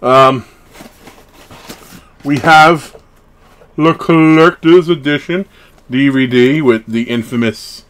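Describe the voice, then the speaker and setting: A man's voice: medium pitch, fairly even intonation, takes it slowly, long pauses, fairly bright tone, clear, resonant tone. Rambling casual man in his thirties. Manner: rambling, self-interrupting asides. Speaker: horror collectibles vlogger. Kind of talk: casual unboxing chat. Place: small wood-panelled room at home, indoors.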